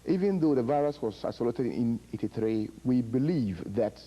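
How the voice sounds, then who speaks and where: A middle-aged man from Ghana, directing a public health project, explaining a disease's history in measured, halting answers with repeated words and fillers. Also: mid-range voice, animated, muffled recording.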